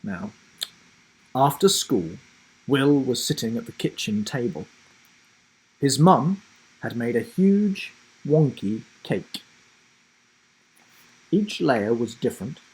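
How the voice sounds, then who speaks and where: A man reading a children's story aloud in a dramatic, deliberate narrating style. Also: medium pitch, very animated, darkish tone, slightly rough, poor audio. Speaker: storybook reader, host.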